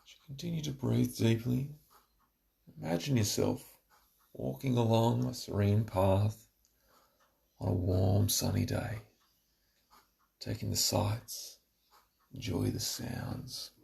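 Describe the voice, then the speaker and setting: A man narrating a scripted guided relaxation in a soothing, deliberate, instructional way, with a gravelly voice. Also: fairly low pitch, steady tone, a little mellow.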